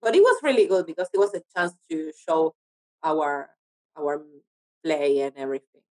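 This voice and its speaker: A woman's voice: low-pitched, sing-song, moderate pace, darkish tone, rough recording. Woman. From Argentina. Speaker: podcast guest, actor and writer. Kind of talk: casual interview chat.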